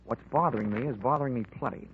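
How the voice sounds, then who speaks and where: A man's voice: mid-range voice, rapid-fire, a little hiss or hum, natural voice. An actor playing a hard-boiled male insurance investigator who narrates his own case in a 1940s radio drama, dry and wisecracking. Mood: wry, sardonic. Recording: muffled old radio broadcast with music.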